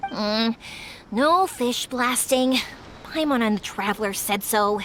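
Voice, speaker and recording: A woman's voice: on the high side, slow, slight background noise. A woman voicing an upbeat, encouraging young shopkeeper with a big-sister way of talking. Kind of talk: game voice acting.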